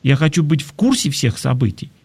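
A man's voice: on the high side, very animated, brisk, darkish tone, a little hiss or hum. A man hosting an advice talk in a conversational, reflective way, explaining things and posing rhetorical questions. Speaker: talk show host. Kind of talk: conversational advice talk, reading listener messages.

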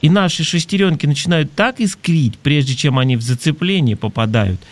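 Man hosting a talk, fairly high voice. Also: brisk, no echo. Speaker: talk show host. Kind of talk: conversational advice talk, reading listener messages.

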